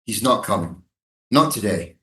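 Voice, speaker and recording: A woman acting a weary, sardonic army prison guard in a staged reading, with a dry deadpan delivery. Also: extremely low pitch, normal speed, echoey room, exaggerated voice.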